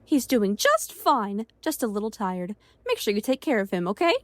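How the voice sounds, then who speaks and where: A woman's voice: mid-range voice, sing-song, normal speed, no room sound, natural voice. A woman voicing a stern, weary cartoon uncle, a blunt and dry family man, in a comic dub. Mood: gentle.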